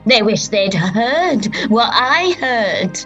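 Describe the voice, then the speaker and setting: A woman playing a grieving but resolute female disciple who recalls her rejection in a halting dramatic monologue with long pauses. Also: fairly high pitch, sing-song, fairly bright tone, dull audio, soft background music, heavily acted. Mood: pained, wondering.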